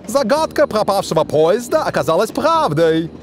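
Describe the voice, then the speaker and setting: A man's voice: sits high, big pitch swings, normal speed, slight background noise. A man voicing a pompous, theatrical self-styled detective inspector in a cartoon. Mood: self-satisfied. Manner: theatrical, self-important, declaiming. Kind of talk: cartoon dub acting.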